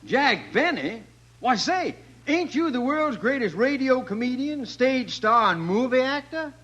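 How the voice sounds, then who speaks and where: Bright high voice, folksy Texan oil millionaire, slow drawl, 1940s radio comedy. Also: male voice, very animated, lo-fi sound, exaggerated voice. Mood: impressed, hearty.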